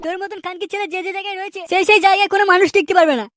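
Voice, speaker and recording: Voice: extremely high pitch, fairly even intonation, racing, a bit bright, no room sound, clean studio sound. A performer voicing an abusive, scornful villager in a Bengali comedy cartoon, with a crude taunting manner.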